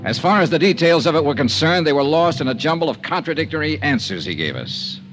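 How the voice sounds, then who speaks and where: A man's voice: on the high side, sing-song, quick pace, over music. A man with an American accent playing an impatient, hard-nosed private investigator in a vintage radio noir drama. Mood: dry narration. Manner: clipped, pressing interrogation. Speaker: lead actor and narrator.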